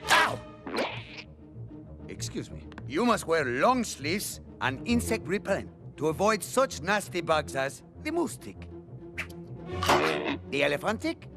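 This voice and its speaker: An actor playing a pompous cartoon TV doctor in a stilted mock public service announcement. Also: male voice, on the high side, sing-song, slow, long pauses, heavily acted. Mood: pained, annoyed. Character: pompous male TV doctor giving safety advice. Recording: loud music, crowd noise behind.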